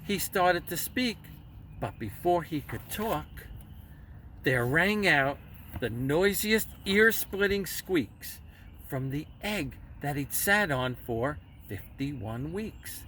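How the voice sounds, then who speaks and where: A man reading a rhyming children's story aloud in a theatrical, deliberate way with emphatic phrasing. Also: fairly high pitch, expressive, a bit bright. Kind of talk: dramatic children's story narration in rhyme. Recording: distant mic with faint background noise.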